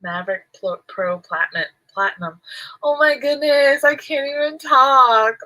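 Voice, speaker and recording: A woman's voice: sits high, unhurried, sharp tone, low-quality recording. A woman chatting casually while she crochets, rambling and giggling, her nose stuffy and nasal from allergies. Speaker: crochet vlogger.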